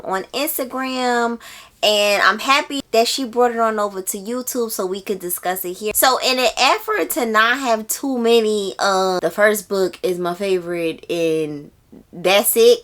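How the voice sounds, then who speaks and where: A woman's voice: medium pitch, a bit bright. Chatty, emphatic woman hosting a book vlog. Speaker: host of a book review channel. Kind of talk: casual chatty book talk to viewers.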